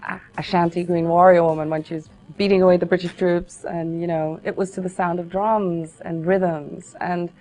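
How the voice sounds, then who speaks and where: A young adult singer-songwriter from Ghana who answers interview questions in a reflective, earnest way. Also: female voice, lowish voice, little pitch movement, normal speed, few pauses, dark tone.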